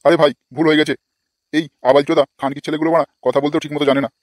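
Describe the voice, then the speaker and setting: A man's voice: a little high, little pitch movement, rapid-fire, no room sound, clean studio sound, lightly acted. A man voicing an exasperated, foul-mouthed older village father in a comic cartoon, scolding crudely. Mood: indignant.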